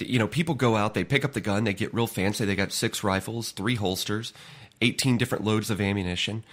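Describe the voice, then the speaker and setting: A podcast host with a stuffy, nasal voice from a head cold, giving an earnest, conversational solo talk. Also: male voice, lowish voice. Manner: earnest, conversational, repeats words.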